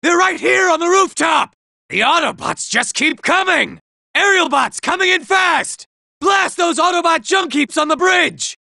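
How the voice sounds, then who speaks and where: A jittery robot grunt from a video game, high and gravelly, with a sharp, bright edge. He yelps warnings in a wide, bouncing pitch and slips into sarcastic asides between them. The booth is bone dry. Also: lively intonation, measured pace, no echo, heavily acted. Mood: panicked. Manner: panicky shouting, sarcastic asides. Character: jittery male robot soldier, nervous and boastful.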